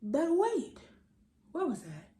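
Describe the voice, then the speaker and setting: An American woman hosting a children's storytime, reading aloud in a playful, expressive, theatrical way and talking straight to young listeners. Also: high voice, slow. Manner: exaggerated, theatrical delivery of silly sound words. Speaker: children's read-aloud host. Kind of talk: animated storytime reading for kids. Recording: roomy echo, light background noise.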